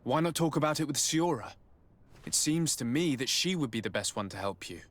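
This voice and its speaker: An actor playing a courteous noble envoy in a fantasy game, speaking in a measured, formal way. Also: male voice, medium pitch, expressive, lightly acted. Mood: composed. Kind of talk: scripted game cutscene acting.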